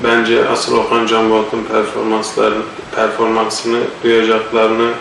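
A man's voice: on the low side, completely flat delivery, unhurried, gravelly, fairly bright tone, a little hiss or hum. A man answering interview questions hesitantly, with many filler sounds and a blocked nose. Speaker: singer and actor interviewed. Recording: echoey room, mic far off.

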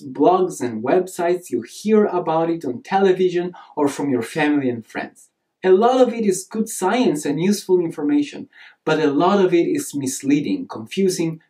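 A man's voice: fairly high pitch, moderate pace. A man in his thirties with an Italian accent, giving a scripted introductory lecture straight to camera with earnest, emphatic delivery. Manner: earnest, animated hand gestures, emphatic delivery. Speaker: nutrition course instructor.

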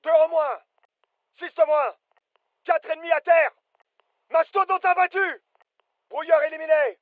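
Casting: alert game soldier, very high-pitched, clipped urgent commands, dry muffled recording. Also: male voice, measured pace, no echo. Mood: focused. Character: British fireteam soldier, alert, businesslike adult man. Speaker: voice actor, French dub. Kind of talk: military shooter game callouts.

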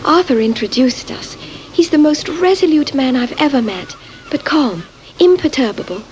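Young Victorian lady in love, eager, confiding, high animated voice, radio drama. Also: moderate pace, a little hiss or hum.

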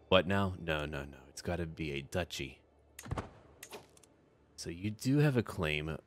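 A man with a deep voice talks through a game as he plays, with faint music underneath. He speaks casually into a close mic, thinking aloud in short, clipped remarks and slipping lightly into roleplay. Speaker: host of a gameplay series. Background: background music.

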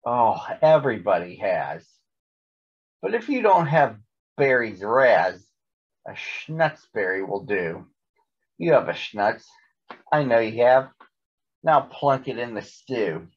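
A man's voice: medium pitch, very animated, slow pace, pauses often, breathy edge, muffled recording, full character voice. A man voicing a smug, chatty male storybook monster who gabs breezily and is devoted to his mother. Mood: instructing. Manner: gabbing and jabbering, breezily dismissive.

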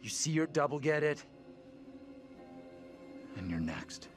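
A man's voice: fairly high pitch, big pitch swings, takes it slowly, soft background music. An actor playing a commanding, pragmatic man in a horror game, blunt and authoritative. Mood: grim. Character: commanding, pragmatic modern man taking charge. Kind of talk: dramatic horror game acting.

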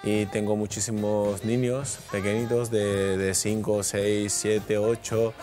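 A man in his forties from Georgia speaks with a Georgian accent as a boxing coach on a TV show. His voice is low, and he talks in halting, simple phrases with pauses. He is picked up on a studio lapel mic with faint music behind. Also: level tone, a little mellow. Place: TV studio set.

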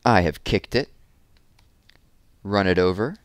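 A man's voice: low-pitched, takes it slowly, clean tone, dry recording. A man in his thirties reading children's picture books aloud expressively, with casual asides, on a headset mic indoors. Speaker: read-aloud storyteller. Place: small room at home, indoors.

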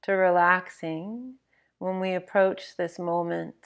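A woman leads a guided bedtime meditation at a very slow pace. Her voice sits a little low, and she gives each instruction gently and with measured care. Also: fairly even intonation, gravelly. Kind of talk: guided sleep meditation narration.

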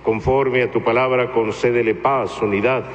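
Priest guy reciting mass prayers slow and solemn, big church echo, noisy. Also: mid-range voice, steady tone, dull audio. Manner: measured, solemn recitation, partly chanted. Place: large church. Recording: noisy, long church reverb.

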